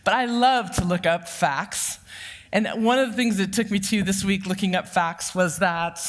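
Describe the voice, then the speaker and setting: A woman in her fifties preaching with a naturally loud voice, mixing jokes and family anecdotes into her message. Her voice sits at an ordinary pitch and rings out with the long reverb of a church hall. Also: normal speed. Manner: lively, humorous, anecdotal. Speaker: church counseling director giving the sermon. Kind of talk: personal storytelling sermon. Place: church sanctuary.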